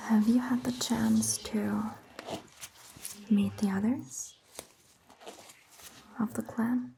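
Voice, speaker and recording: A woman playing a caring vampire doctor in an ASMR roleplay, whispering gently and reassuringly in a breathy, hushed voice. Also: on the high side, fairly even intonation, a little hiss or hum, exaggerated voice. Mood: calm, confiding. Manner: gentle, slow, reassuring, softly questioning. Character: caring, attentive female vampire doctor tending a patient. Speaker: ASMR performer. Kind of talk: soft-spoken ASMR roleplay, mostly whispered.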